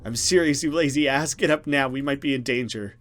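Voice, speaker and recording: A man's voice: mid-range voice, brisk, fairly bright tone, close to an everyday voice. A man voicing a frightened, urgent young woman in a horror visual novel, read out dramatically.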